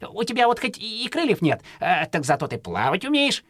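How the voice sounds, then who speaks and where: Lively, fretful timid hare. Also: female voice, medium pitch, normal speed, no room sound, clean studio sound. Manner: fretful, exclaiming. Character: nervous, chatty, timid male hare. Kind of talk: audio fairy-tale play acting.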